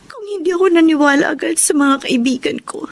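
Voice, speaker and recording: High-voiced, heartbroken young Filipina. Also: female voice, a little fast, gravelly. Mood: remorseful. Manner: emotional, earnest, pleading. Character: heartbroken, remorseful young woman pleading for her ex-boyfriend. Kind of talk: Filipino radio drama acting with letter narration.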